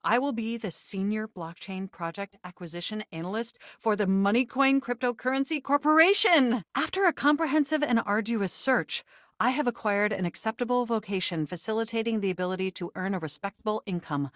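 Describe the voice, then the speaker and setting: A woman voicing a sentient home computer in a video game, nerdy, formal and excitable, with stilted, wordy technical phrasing. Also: a little high, a little fast, no echo, studio quality, lightly acted. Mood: formal, pleased. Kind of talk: scripted video game character voice acting.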